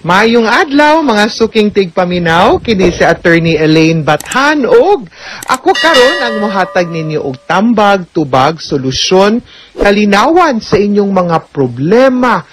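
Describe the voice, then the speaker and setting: A woman's voice: medium pitch, very animated, measured pace, slight background noise. A woman with a Cebuano Filipino accent, a lawyer hosting a radio advice show, explaining things conversationally and often checking in with listeners. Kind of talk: radio legal advice talk.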